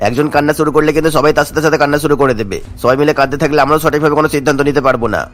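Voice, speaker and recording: A man's voice: on the high side, steady tone, racing, natural voice. A man voicing a responsible, worried schoolteacher in a cartoon, earnest and urgent. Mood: worried, reassuring.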